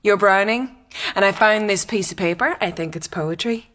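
A woman's voice: medium pitch, moderate pace, fairly bright tone, close to an everyday voice. An actress playing a cool, mocking, clever young upper-class woman in a radio drama, with an arch, self-possessed manner. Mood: casual, coy.

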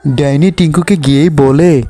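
Man, high voice, scripted children's story narrator, faint music behind. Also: steady tone, moderate pace, dark tone, dry recording.

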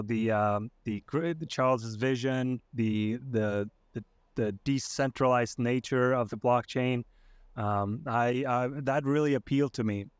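A man's voice: medium pitch, dry recording. A young man from Iceland, a podcast guest talking over a video call with a headset in his home streaming room, hesitant with many fillers and restarts. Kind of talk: casual interview chat.

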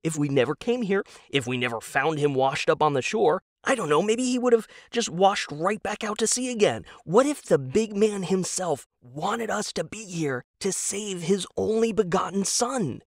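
A man's voice: high-pitched, expressive, normal speed, few pauses, no echo, clean studio sound, pitched above the performer's own voice. A man voicing a naive, eager husband in an audio drama, rambling earnestly with wide-eyed enthusiasm. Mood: eager, excited. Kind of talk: audio drama character dialogue.

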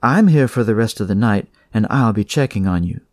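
A man's voice: fairly low pitch, lively intonation, brisk, no room sound, clean studio sound, natural voice. A man voicing a friendly female night nurse in a horror audiobook, familiar and reassuring.

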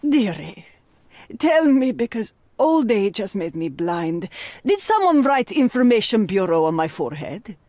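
A woman playing an elderly landlady in a video game, sharp-tongued and nosy, given to sarcasm and endearments. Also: medium pitch, very animated, measured pace, no room sound. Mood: stern, sarcastic. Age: older. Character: sharp-tongued, nosy old female landlady with soft spot. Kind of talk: dramatic video game cutscene acting.

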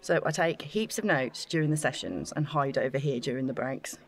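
A woman's voice: rumbling low, lively intonation, brisk, heavily acted. An actress playing an eager, self-effacing young woman in a naturalistic audio drama, talking in a chatty, gushing way. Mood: nervously confiding.

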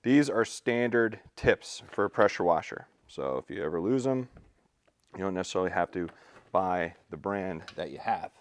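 A man presenting a product unboxing in a relaxed, practical way, thinking aloud with fillers, recorded indoors in a large concrete-floored space. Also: low-pitched, moderate pace. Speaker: tool store presenter. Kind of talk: casual product unboxing walkthrough.

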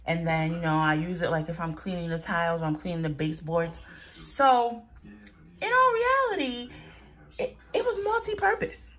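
A woman's voice: lowish voice, very animated, normal speed, a bit bright. A woman in her thirties chatting casually as she shows off her groceries at home, candid and unfiltered. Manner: chatty, candid, talks as she handles items off camera. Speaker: vlogger showing a grocery haul. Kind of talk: casual chat. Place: home, indoors. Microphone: phone camera held by the speaker.

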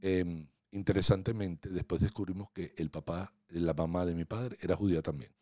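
Deep-voiced man, dry studio sound. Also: fairly even intonation, moderate pace, warm tone, no echo. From Venezuela. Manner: playful, asks teasing questions, storytelling. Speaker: podcast guest. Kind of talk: casual interview chat. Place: small home podcast studio. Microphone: studio mic on boom arm, headphones.

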